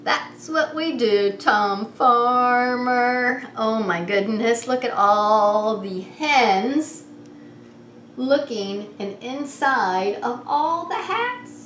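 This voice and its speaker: High-voiced woman leading preschool story time. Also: lively intonation, extremely slow, fairly bright tone. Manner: addresses children directly with simple questions; singsong, playful. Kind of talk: children's story time with read-aloud and singsong. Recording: roomy echo, clear background noise.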